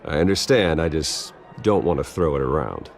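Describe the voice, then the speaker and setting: Brief: suave billionaire, very low register, measured yet varied intonation, guarded politeness, game cutscene, music underneath. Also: male voice, expressive, vocal fry, full character voice. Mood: polite, guarded. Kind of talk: video game cutscene dialogue.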